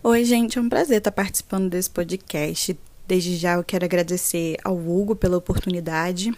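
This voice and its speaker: A woman's voice: on the low side, fairly even intonation, moderate pace, darkish tone, soft background music. A woman in her twenties from Rio de Janeiro with a Carioca accent, a podcast guest who talks in a friendly, chatty way. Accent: Rio de Janeiro Portuguese. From Rio de Janeiro, Brazil. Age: 20s. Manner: friendly, chatty self-introduction. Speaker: guest, social sciences student. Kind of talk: casual podcast interview.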